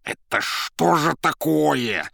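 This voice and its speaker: An irritable demonic emissary from a storybook acted out by a man, gruff and bossy. He gives orders with sardonic exasperation and snaps when crossed. The sound is close and dry. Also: low-pitched, fairly even intonation, moderate pace, dry recording. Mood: furious. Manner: commanding, exasperated, hissing and yelling when crossed. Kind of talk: dramatised audiobook character voice.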